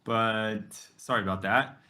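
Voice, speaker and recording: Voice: medium pitch, a little slow, sharp tone. A conference host interrupting to apologise about a stream outage, speaking hesitantly from a phone.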